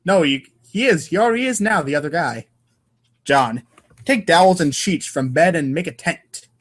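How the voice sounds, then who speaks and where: A man's voice: a little high, lively intonation, moderate pace, fairly bright tone. A man narrating an informal comic read-aloud show in a playful, theatrical manner. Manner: playful, theatrical, voices sound effects.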